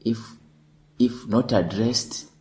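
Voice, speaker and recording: A man from Tanzania, a lawyer, answering interview questions in a measured way and often repeating words while he thinks. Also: lowish voice, a little mellow.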